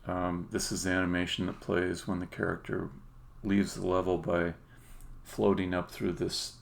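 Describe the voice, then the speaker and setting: A man giving a casual live-stream demo as a game developer, halting and thinking aloud as he goes. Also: sits low, little pitch movement, vocal fry. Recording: noisy, with keyboard typing and clicking.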